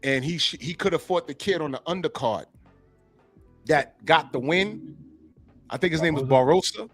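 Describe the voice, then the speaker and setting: A man hosting a podcast in a casual, animated and profane way, often acting out quotes as he tells a story. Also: fairly high pitch, normal speed, slightly rough, soft background music. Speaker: podcast host.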